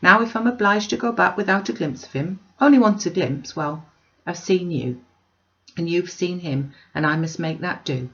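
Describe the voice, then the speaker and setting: A woman's voice: fairly low pitch, normal speed, a bit bright, dull audio, lightly acted. A woman voicing a chatty, eager old country woman with earnest, polite, rustic speech in a storybook reading.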